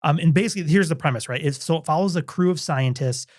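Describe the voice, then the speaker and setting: A middle-aged man co-hosting a podcast, talking in a casual, animated way with frequent restarts. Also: fairly high pitch, fast talker, no room sound, clean studio sound. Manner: animated, chatty, restarts often. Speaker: podcast co-host. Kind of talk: casual chat.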